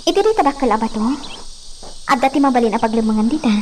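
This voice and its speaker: A woman's voice: a little high, lively intonation, fast talker, close to an everyday voice. A woman playing a shy, polite young village maiden in a radio drama. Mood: hurt, pleading. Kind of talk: Ilocano radio drama acting. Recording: clearly noisy ambient background.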